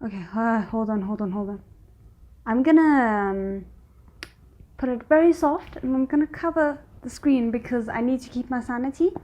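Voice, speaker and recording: A woman hosts a reaction video in a higher-than-average voice. She talks casually as commentary, with a squeamish, dryly sarcastic manner. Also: normal speed, lo-fi sound. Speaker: host of a reaction video channel.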